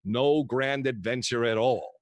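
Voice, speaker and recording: A man's voice: medium pitch, a little slow, no echo, lightly acted. An actor playing a kooky, affectionate old grandfather who rambles and mutters theatrically in a comic play. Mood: storytelling, wry. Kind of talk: comic stage monologue and dialogue.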